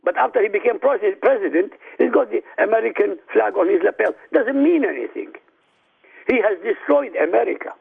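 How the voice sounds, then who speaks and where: Man from Iraq, native Arabic speaker, high gravelly husky voice, phone-line sound, forceful declamatory delivery. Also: animated, fairly quick. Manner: forceful, declamatory, addresses listeners directly. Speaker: radio guest. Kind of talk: emphatic argument on a radio talk show.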